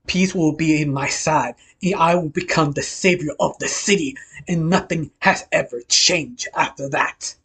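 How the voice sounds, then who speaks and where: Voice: mid-range voice, fairly bright tone, husky. Cruel fat mafia pig boss, acted by a storyteller, male, pompous story villain, drawing out every threat. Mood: gloating. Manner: slow, drawn-out, grandiose threats.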